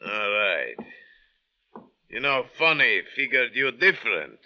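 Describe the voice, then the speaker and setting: A man's voice: mid-range voice, sing-song, takes it slowly. A man playing a menacing thug in an old-time radio drama, with a tough, taunting, clipped manner. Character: menacing, mean-looking male thug with a knife. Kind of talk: 1940s radio acting. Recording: muffled, tinny old radio recording.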